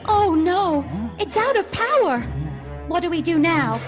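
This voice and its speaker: A woman's voice: extremely high pitch, animated, a little slow, music in the background. A woman playing a timid young lab assistant, an earnest, melodramatic damsel in an amateur radio B-movie parody. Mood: frightened.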